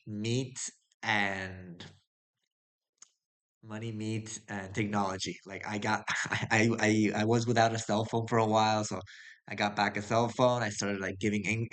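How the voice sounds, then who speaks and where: A man's voice: fairly low pitch, a bit bright. A young man from the United States telling his life story in a casual, rambling way, with many fillers and restarts. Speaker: vlogger. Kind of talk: casual personal monologue.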